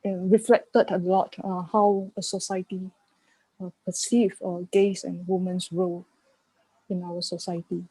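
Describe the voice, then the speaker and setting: Woman, slow halting delivery, soft-edged mellow tone, dry close sound, reflective guest. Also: fairly low pitch, little pitch movement, slightly rough, no room sound, poor audio. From Malaysia. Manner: halting, searching for words in non-native English. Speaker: art curator and panel guest. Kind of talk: reflective spoken interview answers.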